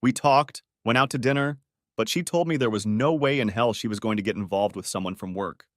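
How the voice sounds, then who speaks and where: A man's voice: lowish voice, brisk, fairly bright tone, no room sound, studio quality. A man reading a scripted first-person story as its narrator.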